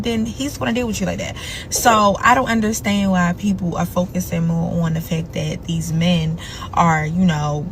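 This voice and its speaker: Woman just telling it how it is, frank and opinionated, little faint hum behind. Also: medium pitch. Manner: frank, opinionated, talks it through. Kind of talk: opinionated commentary video.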